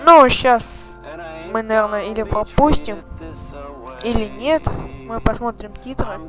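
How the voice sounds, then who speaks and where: High-voiced man swearing through a let's-play. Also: measured pace, music in the background. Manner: chatty and profane, reacting to the game. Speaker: gaming channel host. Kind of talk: casual let's-play commentary.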